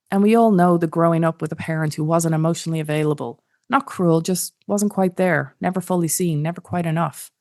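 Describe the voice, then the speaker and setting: Low-voiced woman hosting a podcast. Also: a little mellow. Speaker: podcast host. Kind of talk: scripted introduction and interview.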